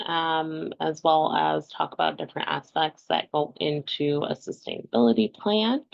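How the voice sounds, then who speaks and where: A woman opens an online session with a gravelly, lower-pitched voice. She speaks slowly and unhurriedly, with fillers. The audio is dull and lo-fi. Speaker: session host. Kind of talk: opening remarks for a webinar.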